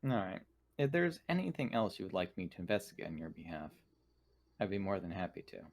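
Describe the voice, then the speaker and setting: A man voicing a formal, dutiful and protective android in measured, precise phrases for a tabletop game. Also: sits low, very animated, a little mellow. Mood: dutiful, polite.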